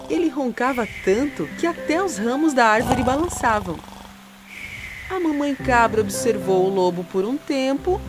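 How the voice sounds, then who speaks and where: A woman narrates a children's tale in a high, expressive voice. Her delivery is dramatic and lively, rising and falling widely, with music clearly behind her. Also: normal speed. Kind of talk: scripted storybook narration.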